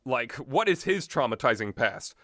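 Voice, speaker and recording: A man's voice: mid-range voice, big pitch swings, moderate pace, pushed and bright, no echo, studio quality. A man giving casual, rambling opinions as a video game commentator, blunt and casually profane.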